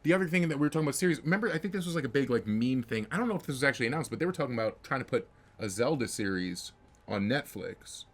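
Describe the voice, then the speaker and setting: A man's voice: medium pitch, expressive, very fast. A man co-hosting a podcast, chatting casually about games and films in an opinionated, rambling way. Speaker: podcast co-host.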